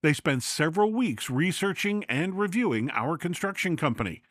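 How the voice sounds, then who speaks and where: A man's voice: a little high, expressive, moderate pace, a bit bright, no room sound, studio quality. A man presenting a podcast in a scripted narration style.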